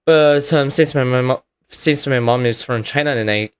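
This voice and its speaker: A young man with a Taiwanese accent co-hosting a podcast in casual conversation, speaking haltingly and repeating phrases. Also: medium pitch, clean tone. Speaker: podcast co-host.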